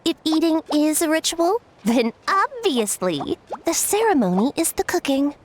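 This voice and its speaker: A woman's voice: high voice, sing-song, unhurried. A woman voicing a smug, scheming little fungus who speaks with scorn. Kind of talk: video game voice acting.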